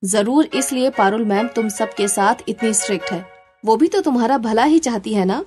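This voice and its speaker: A woman's voice: on the high side, normal speed, music in the background, lightly acted. A woman voicing a kind but firm schoolteacher who explains things to children in a cartoon story. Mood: gently admonishing.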